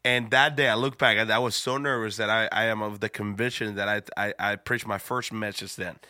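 A lower-pitched man talking, his voice bright and forward. He chats casually as a pastor co-host, halting and restarting his sentences. The recording is dry and close. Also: steady tone, clear, resonant tone, no echo. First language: Spanish. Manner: halting, repeats words as he goes. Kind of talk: casual podcast chat.

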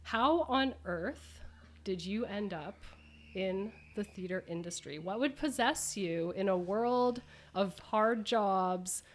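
A woman's voice: medium pitch, slow, slight background noise. A woman hosting an interview in an informal, conversational way, with chatty phrasing. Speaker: radio interview host.

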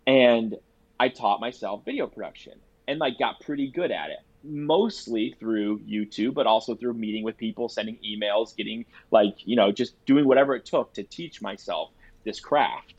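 A man's voice: on the low side, normal speed, slightly rough. A young man with a nasal, congested voice from a cold, talking earnestly and casually on a podcast, repeating phrases for emphasis. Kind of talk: casual conversational podcast chat. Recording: dry, slightly dull sound.

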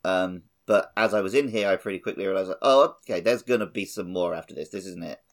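A man's voice: deep voice, fast talker. A man co-hosting a casual gaming podcast, jokey and given to acting out imagined quotes. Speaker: podcast co-host.

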